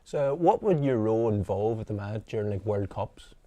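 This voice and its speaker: A man's voice: on the low side, animated, a little fast, mellow, dry recording. A young man putting casual questions as a student podcast interviewer.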